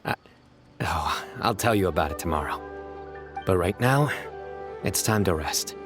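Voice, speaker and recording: An actor playing a stern, dryly earnest young warrior in a video game, with a deadpan, grandly formal manner. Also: male voice, low voice, unhurried, over music. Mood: solemn, deadpan.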